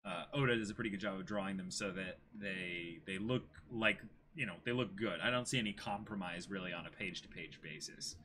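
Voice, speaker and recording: A man in his twenties co-hosting a casual livestream, chatting and reading out viewer comments in an animated way. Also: sits low, fairly quick, few pauses, a little hiss or hum. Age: 20s. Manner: animated, exclaiming thanks to viewers. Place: small indoor room with a backdrop.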